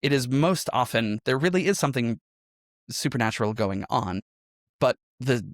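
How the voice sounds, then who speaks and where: A man of medium pitch talks as a guest in an interview. He explains things conversationally, often checking that he is being followed. The recording is dry and free of room echo. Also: expressive, normal speed. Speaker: podcast guest, audio drama creator.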